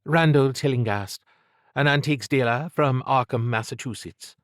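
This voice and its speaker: Smooth, tactful woman investigator, voiced by a man. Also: medium pitch, normal speed, dry recording, studio quality, pitched above the performer's own voice, natural voice. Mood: businesslike. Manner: smooth, diplomatic. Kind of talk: audiobook character dialogue.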